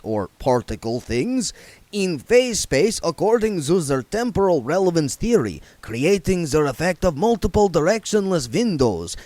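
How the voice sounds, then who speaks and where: A man's voice: fairly high pitch, a little mellow, dry recording, pitched above the performer's own voice. A man voicing a fussy, reformed male vampire who speaks in a prim, indignant, overly formal way.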